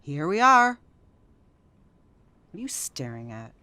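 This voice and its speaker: Feisty hard-drinking 1930s wife for audio drama: teasing, bantering, very animated, forceful edge. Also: female voice, low-pitched, clear, resonant tone, exaggerated voice. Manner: sassy, bantering, quick to needle.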